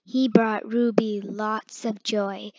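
Female picture-book reader, unhurried and a little halting, in a muffled, echoey room. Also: mid-range voice, level tone, a little mellow. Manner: halting, stumbles over hard words. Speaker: read-aloud storyteller. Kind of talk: reading a children's picture book aloud.

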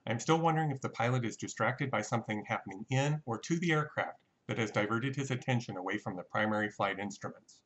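A man giving a measured, matter-of-fact scripted technical narration as an explainer. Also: medium pitch, fairly bright tone, low-quality recording. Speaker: narrator of a flight reconstruction.

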